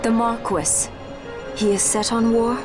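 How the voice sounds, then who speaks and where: A woman's voice: a little high, level tone, unhurried, music in the background, close to an everyday voice. An actress playing a proud, earnest young noblewoman in a fantasy game. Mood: concerned. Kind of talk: fantasy game cutscene acting.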